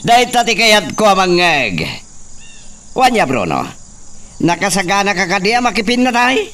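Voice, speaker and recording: Mocking young hacienda boss, radio drama. Northern Philippine accent, highly animated, commanding, recorded at some distance over faint noise. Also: male voice, normal speed, exaggerated voice. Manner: commanding, taunting.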